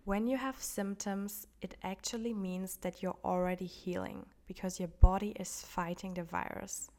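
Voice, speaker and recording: A woman hosting a solo wellness podcast while sick with the flu, so her voice sounds off; she talks calmly and gently, with soft, nearly whispered moments. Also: medium pitch, moderate pace. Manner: calm, gently encouraging self-care advice. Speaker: podcast host.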